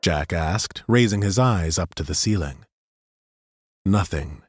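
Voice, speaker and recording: A man narrating a fantasy audiobook in a steady, measured storytelling manner, close to a studio microphone. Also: low voice, no room sound.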